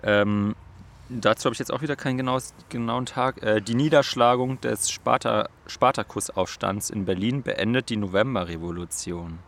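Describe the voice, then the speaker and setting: A man's voice: mid-range voice, gravelly, fairly bright tone. A young German-speaking man hosting a casual quiz among friends, reading historical facts aloud with slips and restarts. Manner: halting, reads with slips and restarts. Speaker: quiz host.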